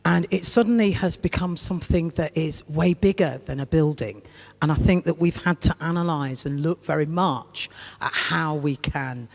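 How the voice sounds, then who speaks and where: A woman's voice: low-pitched, moderate pace, a little mellow. A woman who is a church warden, answering on a panel in an earnest, plain-spoken way. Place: large parish church. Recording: long reverb of a big church.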